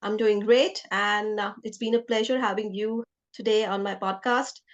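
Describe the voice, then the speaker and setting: A woman's voice: mid-range voice, fairly even intonation, normal speed. A woman hosting an interview podcast, introducing and questioning in a conversational way. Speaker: podcast host.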